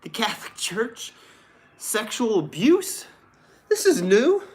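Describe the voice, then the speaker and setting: Sarcastic man commenting over background noise. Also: a little high. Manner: sarcastic, feigned astonishment. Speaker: host of a newspaper-reading show. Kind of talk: casual rambling commentary, reading news aloud.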